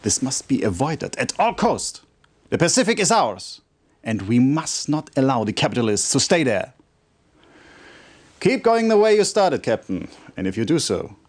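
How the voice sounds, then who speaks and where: An actor playing a stern, formal military commander who briefs an officer in a measured, authoritative way for a video game cutscene. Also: male voice, mid-range voice, sing-song, a little mellow, close to an everyday voice. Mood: commanding. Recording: compressed old game video audio.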